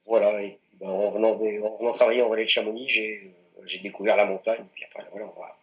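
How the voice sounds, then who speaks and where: A man in his late forties from France's Anjou region, a mountaineer answering interview questions in a relaxed, hesitant way with many fillers. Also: lowish voice, fast talker, low-quality recording. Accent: French. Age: 40s. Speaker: mountaineer guest.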